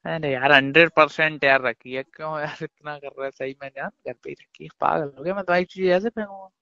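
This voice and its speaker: A man's voice: on the high side, fairly quick, bright, forceful tone, no echo. A young man in casual talk with his girlfriend on a phone call, pleading and reassuring. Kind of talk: casual phone chat between a couple. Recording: dull, dry phone call recording.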